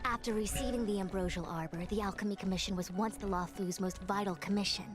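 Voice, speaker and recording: A woman's voice: medium pitch, steady tone, quick pace, over music, close to an everyday voice. An actress playing a poised, proud woman diviner and commander in a video game, speaking formally and precisely. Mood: solemn. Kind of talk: video game dialogue acting.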